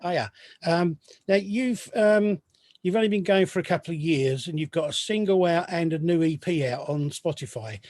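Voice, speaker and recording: A man hosting a music podcast interview, asking questions with many pauses and restarts. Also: a little high, darkish tone, no room sound. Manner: hesitant, many ums and restarts. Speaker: podcast host. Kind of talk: casual interview.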